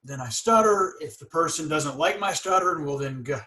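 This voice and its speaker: A man in his forties hosts a casual interview podcast. He stutters openly, with blocks and repeated sounds, but speaks with earnest candor. His voice sits a little higher than most men's. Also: expressive, fairly quick, sharp tone. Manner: candid and earnest. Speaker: podcast host.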